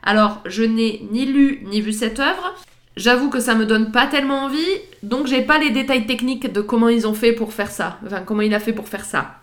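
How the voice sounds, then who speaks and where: Chatty woman with a high voice. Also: normal speed. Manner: chatty, laughs easily, hesitant fillers. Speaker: podcast co-host. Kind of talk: casual chat presenting pop-culture references.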